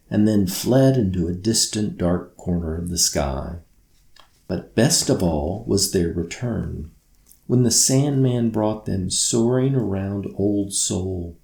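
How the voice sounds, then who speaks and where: A man reading a children's story aloud as scripted narration, in a measured, gentle bedtime manner. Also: low-pitched, creaky. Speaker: author reading own story. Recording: roomy, echoey sound.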